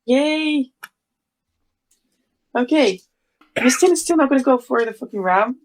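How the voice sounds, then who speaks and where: A woman playing in a tabletop game, loud and excited, talking quickly. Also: high voice, slight background noise. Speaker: player. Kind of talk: casual tabletop play.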